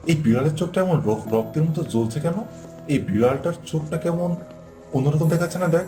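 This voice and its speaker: A man's voice: a little high, brisk, dark tone, faint music behind, close to an everyday voice. An actor playing a timid, easily spooked young village man in a cartoon, speaking in an anxious, questioning manner. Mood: uneasy.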